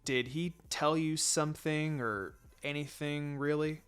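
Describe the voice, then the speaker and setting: A man's voice: a little high, fairly even intonation, a little slow, a bit bright, clear, resonant tone. A man voicing a teasing young male ninja who probes his friend casually, in a story reading. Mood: probing, casual. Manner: casual, probing.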